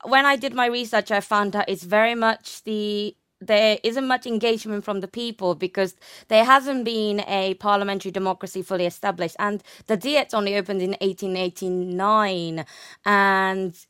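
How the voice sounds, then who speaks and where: A woman's voice: medium pitch, fairly even intonation, moderate pace, a bit bright, clear, resonant tone, no room sound, studio quality. A young woman speaking as an academic guest, explaining her research conversationally and often rephrasing with "sort of".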